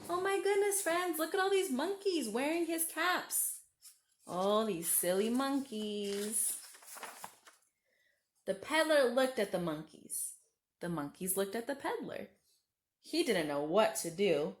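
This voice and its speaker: A woman's voice: a little high, animated, slow. A woman storyteller reading a children's story aloud expressively, with friendly asides addressed directly to young listeners.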